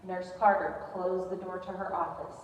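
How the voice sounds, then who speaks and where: A woman's voice: on the low side, level tone, normal speed. A woman reading scripted narration for a readers theater performance. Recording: distant, echoey hall with faint noise.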